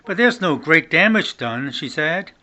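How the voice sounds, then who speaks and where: An older man with a bright, forceful voice reads a children's story aloud. His pitch sits a little high for a man. He sometimes trips on a word and starts it again. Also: animated, measured pace. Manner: stumbles and restarts words while reading. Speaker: grandfather reading along. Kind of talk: reading a children's novel aloud.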